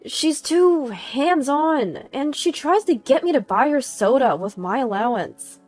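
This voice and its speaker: Woman doing a shy young teen boy, meek and plaintive, high voice, story narration, soft music behind. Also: lively intonation, measured pace, dry recording. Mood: uneasy. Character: quiet timid thirteen-year-old boy, picked on by teens.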